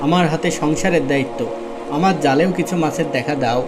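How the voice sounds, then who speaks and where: A man's voice: a little high, quick pace, lightly acted. A man playing a devout, humble fisherman and patient husband in a cartoon, earnest and pleading. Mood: prayerful. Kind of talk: cartoon dialogue.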